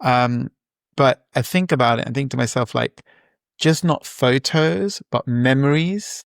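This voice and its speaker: Gravelly-voiced man musing in an interview. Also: mid-range voice, measured pace, dry recording, studio quality. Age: 40s. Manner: reflective, rambling with many fillers and restarts. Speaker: guest entrepreneur. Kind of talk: casual interview talk.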